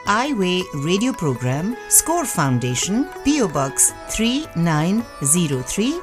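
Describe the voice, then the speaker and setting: A woman with an Indian accent hosts a radio magazine programme. Her voice sits a little low for a woman, and she talks conversationally with emphatic phrasing over quiet music. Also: sing-song, a little slow. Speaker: radio show co-host.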